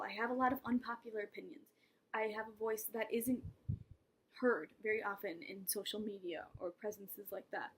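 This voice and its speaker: A woman's voice: mid-range voice, husky, rough recording. A young woman talking casually to camera in a vlog, rambling and self-mocking, with laughs and whispered asides. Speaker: vlogger.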